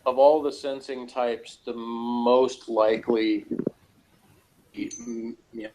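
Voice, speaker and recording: A man's voice: medium pitch, fairly even intonation, slow pace, warm tone, poor audio. A man talking casually in a loose, rambling discussion, feeling his way through his points hesitantly. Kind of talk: casual discussion of TV and personality types.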